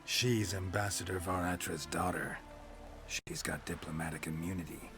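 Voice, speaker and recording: An actor playing a gruff, laconic monster-hunting swordsman with a deadpan, weary manner in a video game. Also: low-pitched, normal speed, over music, close to an everyday voice. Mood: stern, terse. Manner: terse, deadpan. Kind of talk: video game character acting.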